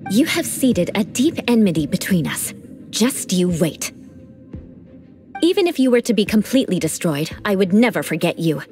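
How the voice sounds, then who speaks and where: A woman's voice: medium pitch, big pitch swings, moderate pace, darkish tone, faint music behind, lightly acted. A woman playing a proud, aloof young noblewoman knight in a video game, speaking in a formal, theatrical, haughty manner with a playfully vengeful temper. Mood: haughty, teasing.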